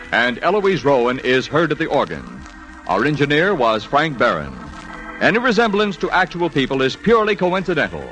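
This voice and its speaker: A male announcer reads in a polished, formal 1940s radio style. His bright voice moves with lively intonation, with faint music behind him on a vintage broadcast recording. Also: on the high side, rough recording.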